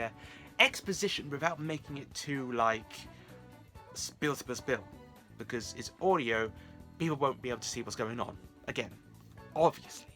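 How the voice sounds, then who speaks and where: A man's voice: medium pitch, pushed and bright. A British man chatting casually to camera and giving beginner advice in a rambling, wry, self-deprecating way. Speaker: amateur audio drama creator and vlogger.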